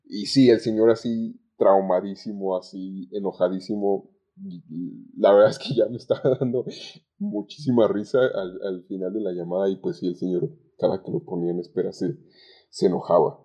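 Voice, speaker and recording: A young Mexican man talking conversationally as a podcast guest about his experiences, with halting phrasing and repeated words. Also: sits low, steady tone, mellow. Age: young adult. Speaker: podcast guest, call center agent. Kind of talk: conversational interview, recounting work anecdotes. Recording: dull, lo-fi sound.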